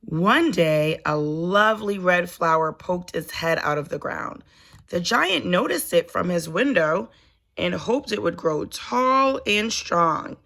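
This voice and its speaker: A woman reads a children's story aloud at a very slow, deliberate pace. Her voice is bright and forward, sitting a little low. She draws out phrases expressively as a storyteller would. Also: animated. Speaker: storybook reader, teacher.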